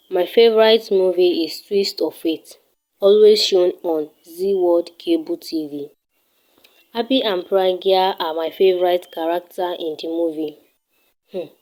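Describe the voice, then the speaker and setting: A teenage girl with a Nigerian accent, narrating a tour of her home and her daily routine in a careful, measured way. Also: on the low side, darkish tone, poor audio. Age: teens. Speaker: student showing her home.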